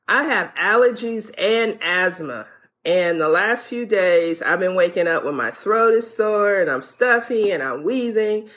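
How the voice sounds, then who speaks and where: A woman podiatrist guest on a call-in show. Her pitch swings freely as she chats and fires off questions, often restarting mid-thought. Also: fairly bright tone. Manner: chatty, asks questions with restarts. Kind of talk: radio call-in conversation.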